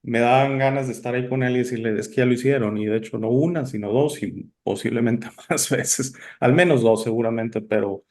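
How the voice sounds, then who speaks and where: A man's voice: medium pitch, brisk, few pauses, a little mellow. A man born in Italy hosting a casual interview, talking in a chatty, rambling way with many fillers and restarts. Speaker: interview host.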